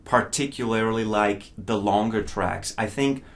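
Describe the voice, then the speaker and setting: A man's voice: lowish voice, measured pace. A middle-aged man whose first language is not English, giving a casual, enthusiastic spoken album review and often stumbling over repeated words.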